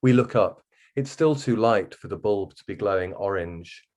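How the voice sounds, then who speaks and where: Male author, lower-pitched voice, expressive reader of first-person fiction. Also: moderate pace. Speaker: guest author reading from a novel.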